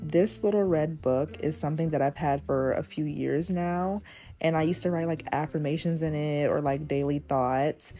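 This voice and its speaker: Woman, twenties, hoarse, deep low voice, mellow tone, casual journaling vlog host. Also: faint music behind. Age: 20s. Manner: deliberately speaking in a lower register. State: losing her voice, hoarse. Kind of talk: casual talk over journaling.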